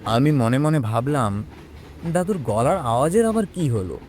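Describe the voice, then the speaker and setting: A man playing a young man who recounts his own eerie experience in an expressive, suspenseful audio horror drama. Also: mid-range voice, normal speed, slight background noise, lightly acted. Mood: suspenseful, earnest. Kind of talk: dramatic first-person audio horror storytelling.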